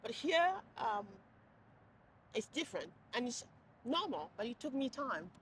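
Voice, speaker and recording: A woman's voice: a little high, big pitch swings, a little slow, a bit bright, slight background noise. A woman, speaking in a casual street interview with many restarts and fillers, thinking aloud as she talks. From Cameroon. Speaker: interviewee, passerby. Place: outdoors on a city street.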